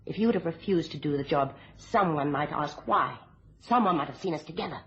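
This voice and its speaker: An actress playing a curt, scornful, greedy British schemer in a radio thriller. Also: female voice, low-pitched, expressive, fairly quick. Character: cold, scheming, greedy femme fatale. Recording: dull lo-fi radio drama recording.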